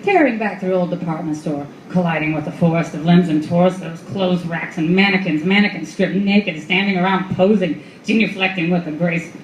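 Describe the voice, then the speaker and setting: A woman's voice: on the low side, moderate pace, few pauses, close to an everyday voice. A woman performing as the narrator of a noir radio play, telling it in clipped, vivid, dramatic phrases. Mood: urgent. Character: stark female noir storyteller. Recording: echoey live room with clear background noise.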